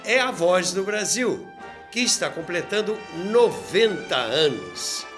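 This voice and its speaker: A man's voice: high voice, sing-song, slow, music in the background. A Brazilian man hosting and narrating radio history as a storyteller, with theatrical rhetorical questions. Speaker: podcast host and narrator.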